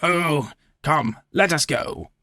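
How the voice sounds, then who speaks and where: A man's voice: fairly high pitch, lively intonation, slow pace, sharp tone, no echo, studio quality, voice pushed up, full character voice. A man voicing a boastful, tipsy, hearty wine connoisseur in a storybook reading, exclamatory and scornfully dismissive. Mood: insistent, dismissive. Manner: exclamatory, blustering, dismissive with laughs.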